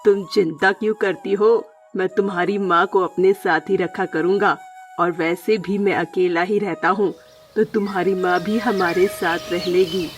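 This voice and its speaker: A woman's voice: fairly low pitch, fairly even intonation, unhurried, faint music behind, pitched below the performer's own voice, natural voice. Woman voicing an earnest lovestruck male parrot. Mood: earnest, wooing. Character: lovestruck bachelor male parrot, gentle employer. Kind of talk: Hindi children's cartoon story dialogue.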